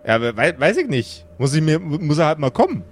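A man acts out a brash necromancer who runs his undead underworld like a grumbling small businessman. His voice sits fairly high for a man. He rambles in coarse slang and repeats himself as he hunts for words in an improvised fantasy audio play. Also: big pitch swings, fast talker, soft background music. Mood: evasive.

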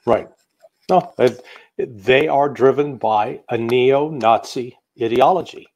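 An American man talking at an ordinary mid pitch, steady and deliberate as he works through a long answer. He pauses often, filling the gaps with small hesitations and restarts, like a guest analyst laying out a case in an interview. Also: dull audio. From United States. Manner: measured, with frequent fillers and restarts. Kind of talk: interview commentary.

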